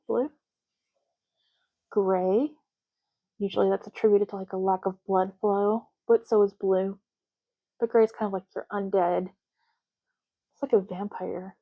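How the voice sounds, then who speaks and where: A soft-spoken woman doing an ASMR roleplay as a clerk, talking casually and matter-of-factly in unhurried short phrases with pauses. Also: medium pitch, narrow, tinny audio. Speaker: ASMR roleplay host, playing an intake clerk making ID cards.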